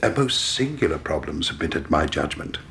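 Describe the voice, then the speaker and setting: An actor playing a genial, indolent and superior British gentleman sleuth in a period radio drama, urbane and self-assured. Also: rumbling low, big pitch swings, normal speed, slight background noise, exaggerated voice. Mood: leisurely, assured.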